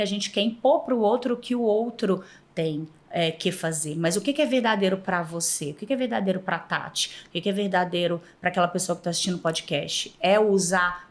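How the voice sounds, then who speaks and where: A woman from Minas Gerais with a Mineiro accent, a podcast guest telling lively stories and often laughing. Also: lowish voice. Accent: Mineiro Brazilian Portuguese. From Minas Gerais, Brazil. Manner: animated, laughs often, acts out past dialogue. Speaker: podcast guest, former flight attendant. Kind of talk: casual interview storytelling.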